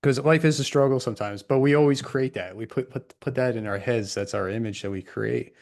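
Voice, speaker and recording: A man's voice: medium pitch, fairly quick, vocal fry, darkish tone. Guy hosting his podcast, rambly and loose, average voice. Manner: loose and rambling, many fillers. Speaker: podcast host. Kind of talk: casual podcast interview.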